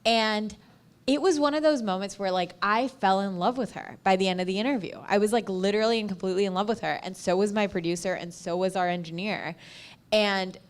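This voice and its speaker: A woman's voice: medium pitch, a little fast. A woman podcaster speaking informally on a panel, telling anecdotes and peppering her talk with "like". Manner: informal, frequent "like" as filler.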